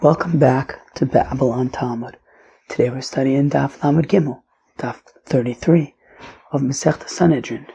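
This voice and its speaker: A man with a failing, hoarse voice teaching a daily Talmud lesson in a relaxed, chatty way, sometimes dropping to a whisper. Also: medium pitch, normal speed, highs cut off, a little hiss or hum. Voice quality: raspy, drops to whispering. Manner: casual, with fillers and mixes in Hebrew and Aramaic terms. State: losing his voice, hoarse.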